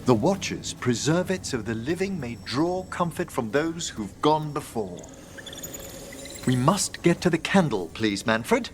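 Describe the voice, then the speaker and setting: Eloquent gentleman necromancer, lively pitch. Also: unhurried, a little hiss or hum. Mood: warmly enthusiastic. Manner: formal, eloquent, theatrical. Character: gentlemanly older necromancer mage, courteous, scholarly, melancholy. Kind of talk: video game voice acting.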